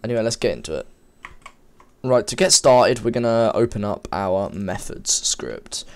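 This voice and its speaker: A man with a somewhat low voice, a little stuffed up and sniffly from a cold. He talks informally at his desk, wandering and correcting himself as he types through a game-making tutorial. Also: animated, normal speed, clear, resonant tone. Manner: informal, rambling, self-correcting while typing. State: has a cold, a bit sniffly. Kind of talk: casual screen-recorded coding tutorial. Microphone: desk microphone.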